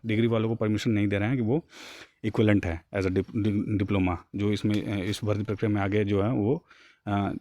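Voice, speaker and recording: A man talks viewers through a document in an informal way. His voice is on the low side and quite mellow, with very little room sound. He drops English terms into colloquial speech as he reads and paraphrases. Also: no echo. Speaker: job news YouTuber.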